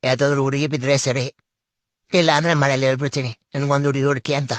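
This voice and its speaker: A man's voice: medium pitch, little pitch movement, quick pace, no echo, close to an everyday voice. A man voicing brash, exasperated young men in cartoon comedy skits. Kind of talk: animated comedy skit dialogue.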